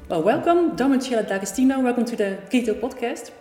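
A woman's voice: on the high side, lively intonation, fast, dark tone, soft background music. A woman host with a Dutch accent, interviewing guests, hesitating often with fillers and restarts. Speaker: podcast host. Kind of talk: interview hosting. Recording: echoey conference room.

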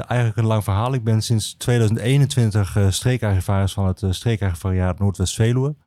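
A man explains things as an interview guest. His voice is fairly low and soft-edged, and he talks hesitantly in a measured way, recorded very dry. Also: dry recording. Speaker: guest, archivist and historian.

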